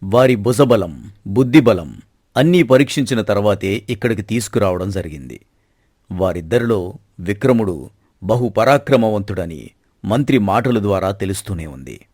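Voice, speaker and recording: A man playing a stern, proud king and father in a dramatized folk story, speaking formally and with measured authority. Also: fairly low pitch, expressive, darkish tone, no echo, clean studio sound. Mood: proud, deliberate. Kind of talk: dramatized moral story acting.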